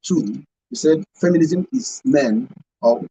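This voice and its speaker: A man's voice: on the high side, big pitch swings, takes it slowly, gravelly, mellow, a little hiss or hum, poor audio. A man with a Nigerian accent explaining points emphatically on a talk show, repeating himself for stress. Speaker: radio show panelist.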